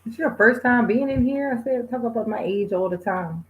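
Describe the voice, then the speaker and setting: A woman in her forties hosting a livestream, chatting casually with viewers, laid-back and quick to laugh. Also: on the high side, highs cut off. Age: 40s. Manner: laid-back, laughs often, sings bits. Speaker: livestream host. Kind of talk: casual chat reading viewer comments.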